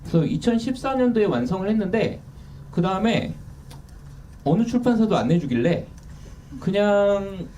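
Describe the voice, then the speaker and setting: A man's voice: high voice, very animated, normal speed, long pauses, mellow. A man in his thirties, an author, talking candidly and self-deprecatingly in a casual interview. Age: 30s. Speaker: author guest. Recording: distant mic with faint noise.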